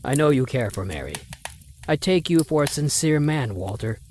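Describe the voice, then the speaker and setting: A man's voice: medium pitch, expressive, normal speed, a little mellow, a little hiss or hum. A man playing a stern, condescending wealthy father who refuses a suitor, in an old-time radio drama. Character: stern wealthy older father, Mister Spencer. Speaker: voice actor.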